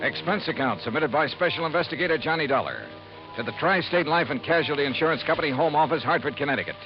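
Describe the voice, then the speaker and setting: An actor playing a brisk, wry insurance investigator in a 1950s radio detective drama, clipped and dramatic. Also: male voice, on the high side, expressive. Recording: dull vintage radio recording with music.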